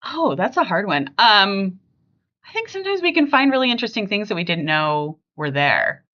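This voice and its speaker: A middle-aged woman chats casually on a family podcast, with a bright voice that swings freely up and down. She is talkative and emphatic. The recording is a little dull. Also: mid-range voice, sing-song, normal speed. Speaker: podcast host.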